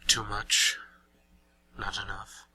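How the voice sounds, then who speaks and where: Guy doing a grim raspy ancient vampire, lowish voice, drags his words, very tense, audio drama. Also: level tone, bright, forceful tone, full character voice, poor audio. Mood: grim, weary. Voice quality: rasping. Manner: cryptic, slow, grave. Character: brooding, weary ancient male vampire planeswalker.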